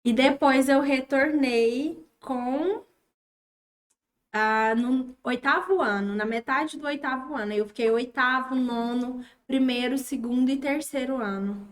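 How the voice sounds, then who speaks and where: A young woman from Tocantins in her twenties, a teacher, talking in a casual interview and pausing thoughtfully as she speaks. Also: high-pitched. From Tocantins, Brazil. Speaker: guest, teacher.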